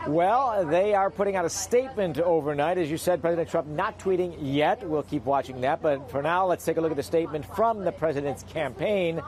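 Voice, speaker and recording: A man's voice: fairly high pitch, fairly quick, hardly any pauses, darkish tone, slight background noise. A man working as a TV news reporter, delivering a live report with punchy broadcast narration.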